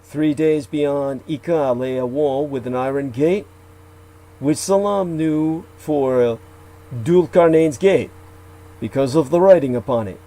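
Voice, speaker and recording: A man reciting old legends aloud, with slow, deliberate delivery, archaic phrasing and occasional asides where he corrects himself. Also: on the high side, mellow, poor audio. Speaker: radio show guest.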